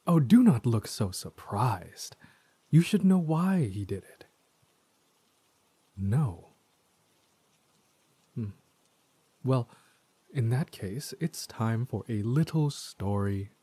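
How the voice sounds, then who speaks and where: A man performs a tormented villain spirit speaking through a possessed body in an audio roleplay. The voice is dark and mellow with a breathy husk. He speaks slowly and theatrically, with deliberate menace, close and dry. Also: medium pitch, lively intonation, no room sound, exaggerated voice. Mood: smug menace. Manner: theatrical, slow and deliberate, dropping into whispers. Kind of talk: scripted dramatic audio roleplay monologue.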